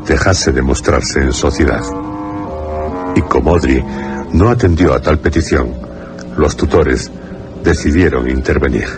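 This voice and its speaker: Casting: male narrator for horror radio play; extremely low, grave, deliberate; clear music underneath. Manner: grave, deliberate phrasing with pauses. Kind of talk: scripted dramatic horror narration.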